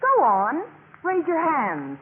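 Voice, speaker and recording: A woman's voice: sits high, huge pitch swings, slow pace, full character voice. An actress playing a haughty, cutting young sorority woman in a 1950s radio drama, scornful and emphatic. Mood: scornful, pressing. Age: young adult. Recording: noisy, tinny 1950s radio broadcast recording.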